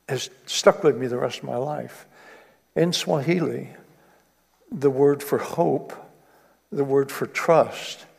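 A man's voice: medium pitch, gravelly, a little mellow, breathy edge. A man preaching a sermon in an earnest way, building to emphatic repetition. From East Africa. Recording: long reverb of a hall.

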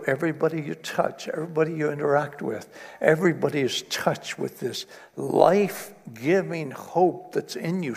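Husky guy giving an earnest sermon, lots of hall reverb. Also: a little high. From East Africa. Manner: earnest, builds to emphatic repetition. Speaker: preacher.

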